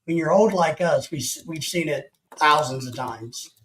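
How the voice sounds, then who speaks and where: Deadpan joking man, faint background noise. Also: a little high, moderate pace, rough recording. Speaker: group chat participant. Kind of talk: casual group chat.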